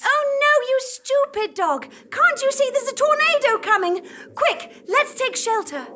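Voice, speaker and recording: A woman playing a gentle, anxious young farm woman with fretful melodrama in a live comedy radio play. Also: very high voice, big pitch swings, measured pace, full character voice. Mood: alarmed. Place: theatre stage, live performance.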